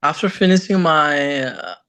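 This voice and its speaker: A young man from Nepal with a Nepali accent, answering interview questions in halting, non-native phrasing. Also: a little high, sharp tone, clear, resonant tone, no room sound. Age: young adult. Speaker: guest, engineering graduate and former student.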